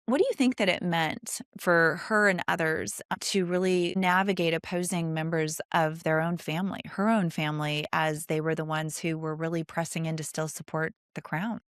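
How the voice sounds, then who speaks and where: Low-pitched woman hosting an interview. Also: steady tone, moderate pace, hardly any pauses, dry recording, clean studio sound. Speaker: podcast host interviewing a guest. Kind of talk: conversational interview.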